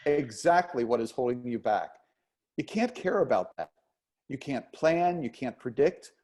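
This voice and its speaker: A man's voice: medium pitch, very animated, measured pace, a little mellow. A man reading a play as a charming, patient, flirtatious dance teacher who teases and encourages his pupil. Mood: gently coaxing. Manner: teasing, encouraging. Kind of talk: play reading, romantic comedy.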